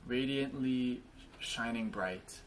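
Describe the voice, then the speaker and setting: A man leading a guided body scan meditation, speaking in gentle invitations with frequent pauses. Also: medium pitch, slight background noise, rough recording.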